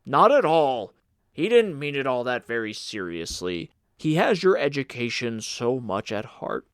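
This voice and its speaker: A man reading an audiobook as a stout, kindly gentleman who is eager to please, coaxing and jovial. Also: medium pitch, sing-song, measured pace, smooth, no echo, studio quality. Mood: genial, reassuring. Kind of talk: audiobook character dialogue.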